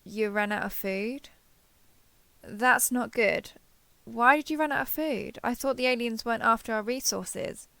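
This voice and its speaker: Close, creaky-voiced woman acting as a clingy alien girl. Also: fairly high pitch, measured pace, no echo. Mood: nervous, earnest. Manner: hesitant, coaxing, softly over-eager to please. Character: female alien posing as a lonely, clingy, eager human survivor. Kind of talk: intimate audio roleplay addressed to the listener.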